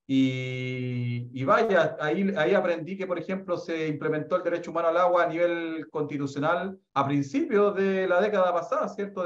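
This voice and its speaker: A Chilean-accented man in his thirties talks in a lively, chatty way, stumbling and restarting as his thoughts run ahead. His voice is a bit high. The call audio sounds roomy and slightly muffled. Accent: Chilean Spanish. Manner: animated, chatty, many restarts and fillers. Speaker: researcher. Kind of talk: casual panel comments on a video call. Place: office with bookshelves.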